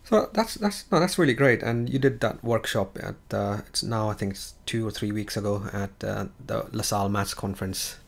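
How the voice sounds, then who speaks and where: A man with a low, gravelly voice hosts a live-streamed chat. He stops and restarts often and fills his pauses with "uh" and "um" as he speaks. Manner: hesitant, many fillers and restarts. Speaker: livestream host.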